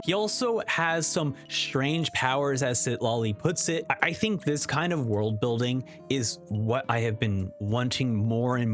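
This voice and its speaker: A man giving enthusiastic video essay commentary as a review host, speaking in an excitable, emphatic and informal way. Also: medium pitch.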